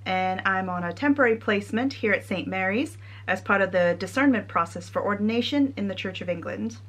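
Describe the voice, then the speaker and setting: A young woman with a Connecticut American accent, a trainee priest giving a measured, earnest sermon. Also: medium pitch, a bit bright. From United States.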